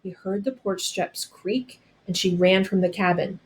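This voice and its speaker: A woman narrating a storybook aloud as a storyteller, with dramatic, deliberate phrasing. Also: mid-range voice, rough recording.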